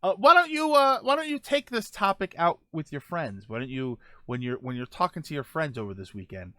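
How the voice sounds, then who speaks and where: A man's voice: fairly high pitch, lively intonation, a little fast, pushed and bright. A man hosting a casual podcast, reading viewer topics and tweets aloud with many ums and restarts. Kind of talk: casual solo podcast chat.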